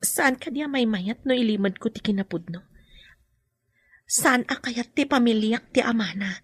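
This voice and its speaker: A woman playing a gentle, burdened mother in an Ilocano radio drama. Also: a little high, normal speed, husky, no room sound. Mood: sorrowful.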